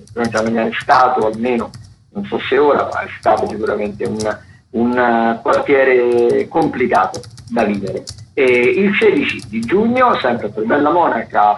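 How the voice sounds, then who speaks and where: A man giving theatre listings on the radio in a conversational, halting way, often repeating and correcting himself. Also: medium pitch. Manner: halting, frequent repetitions and self-corrections. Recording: dull lo-fi sound, clear background noise.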